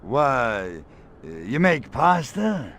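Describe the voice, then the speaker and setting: A man voicing an irritable, lecherous little alien invader in a video game, gravelly, with a sarcastic, slangy tough-guy delivery. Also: mid-range voice, very animated, extremely slow, fairly bright tone, slight background noise, full character voice. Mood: flirty, cocky. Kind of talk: video game cutscene acting.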